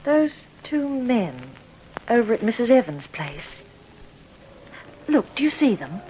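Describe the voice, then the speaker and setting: A watchful, expressive mother. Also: a little high, takes it slowly, slight background noise, low-quality recording. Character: watchful, reassuring young boy's mother. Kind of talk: radio drama acting.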